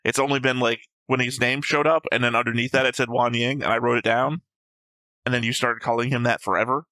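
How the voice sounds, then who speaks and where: A man with a bright, sharp voice talks casually as co-host of a discussion show. He is short on sleep, argumentative and often restates his own points. The sound is dry, with little room in it. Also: mid-range voice, fairly even intonation, brisk, no room sound, low-quality recording. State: sleep-deprived. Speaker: co-host of an anime reaction show. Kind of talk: casual discussion of episode notes.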